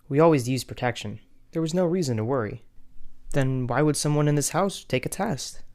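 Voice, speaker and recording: A man's voice: a little high, animated, brisk, a little mellow. A man voicing a betrayed young man who tells his family drama wryly, in first-person cartoon story narration. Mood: stunned, wry.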